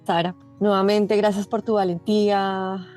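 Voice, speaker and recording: A woman hosting an interview podcast, speaking in a gracious, measured way. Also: medium pitch, flat delivery, darkish tone, faint music behind. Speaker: podcast host.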